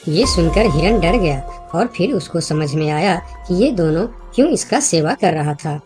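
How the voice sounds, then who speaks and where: A woman's voice: lowish voice, expressive, moderate pace, a little mellow, soft background music. A woman reading scripted narration for a children's animal story.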